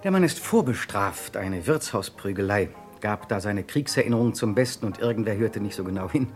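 An actor playing a brisk, dry-humored police detective and protective brother in a crime radio play. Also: male voice, on the low side, lively intonation, faint music behind, close to an everyday voice. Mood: serious.

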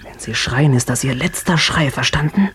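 A male actor plays a young escaped convict in a radio thriller, menacing and in command. He speaks in short, curt orders at a medium pitch. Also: normal speed, a bit bright, a little hiss or hum, close to an everyday voice. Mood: curt, threatening. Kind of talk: German radio play acting.

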